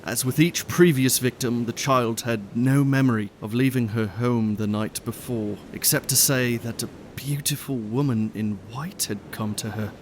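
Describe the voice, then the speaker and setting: A man plays a sceptical gentleman with little patience in a gothic audio drama. His voice sits at a middle pitch and his manner is brusque. There is a faint rumble underneath. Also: normal speed, few pauses, close to an everyday voice. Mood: uneasy, reading. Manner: brusque, demanding. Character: indignant, impatient gentleman, grieving and sceptical.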